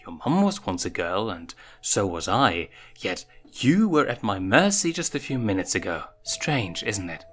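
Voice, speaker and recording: A man voicing a calm, motherly lioness huntress in a story reading, teasing and firm, with measured, probing questions. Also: fairly low pitch, soft background music, full character voice. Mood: stern, probing.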